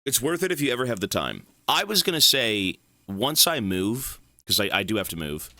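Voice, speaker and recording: A man's voice: lowish voice, very animated, normal speed, bright, forceful tone, no echo. A man from Canada streaming a game, chatting loosely with his chat, swearing and rambling as he answers questions. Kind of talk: casual stream chat while gaming.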